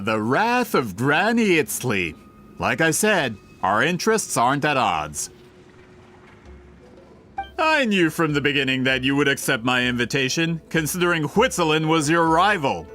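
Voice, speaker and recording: An actor playing a smug, greedy businessman villain in a video game, with theatrical, drawn-out gloating. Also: on the high side, bright, forceful tone, exaggerated voice.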